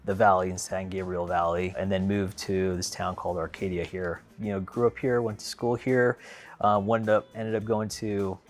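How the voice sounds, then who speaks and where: A man in his thirties speaks in a low, easygoing voice, wandering through his story with fillers and restarts. Faint music plays quietly under his words. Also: normal speed. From Philippines, raised in Los Angeles. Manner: relaxed, rambling, frequent fillers and restarts. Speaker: car owner. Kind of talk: casual storytelling to camera.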